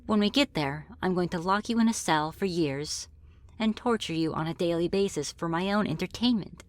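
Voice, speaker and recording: A woman's voice: fairly low pitch, normal speed, no room sound, natural voice. A woman voicing a friendly, teasing female demon in an ASMR roleplay, with casual, politely menacing delivery. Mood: mock menace. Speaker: roleplay voice performer. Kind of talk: ASMR roleplay, scripted one-sided dialogue.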